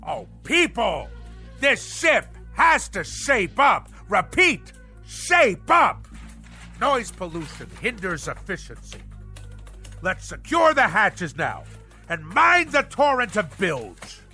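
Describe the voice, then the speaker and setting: Pompous cranky boss who talks like a sea captain, radio drama, slow n swooping, music under it. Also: male voice, high voice, wildly swooping pitch, sharp tone, full character voice. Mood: blustering, irate. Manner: pompous, blustering, nautical turns of phrase. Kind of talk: theatrical radio drama acting.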